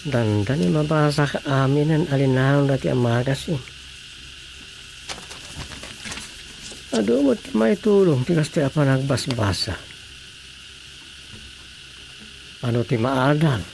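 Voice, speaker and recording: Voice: mid-range voice, expressive, moderate pace, creaky, hardly any pauses, background noise. An actor with an Ilocano accent playing a musing, muttering reader in a comic radio drama, rambling with sighs and interjections. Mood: puzzled musing. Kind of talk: radio drama comedy monologue.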